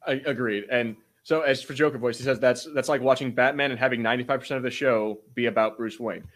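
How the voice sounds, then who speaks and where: A man's voice: mid-range voice, fairly even intonation. Casual man co-hosting an informal podcast. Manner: informal, sweary, halting. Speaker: podcast co-host. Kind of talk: casual chat, reads out viewer comments.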